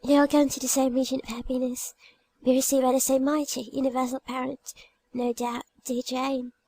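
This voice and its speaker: Dying schoolgirl played by a woman, audiobook drama: high pitch, husky whispery softness, tender, calm, pious. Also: moderate pace, poor audio. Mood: devout, calm. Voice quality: soft, weak, breathy. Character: dying young girl, serene, pious, gentle.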